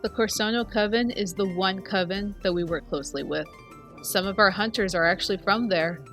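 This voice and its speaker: A woman's voice: medium pitch, a little fast, a bit bright, faint music behind, lightly acted. A woman playing a seasoned, friendly vampire hunter who mentors a newcomer in a conversational, teasing way in a fantasy audio roleplay. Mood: explaining, calm. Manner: conversational, explanatory, playful teasing. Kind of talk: scripted fantasy audio roleplay addressed to the listener.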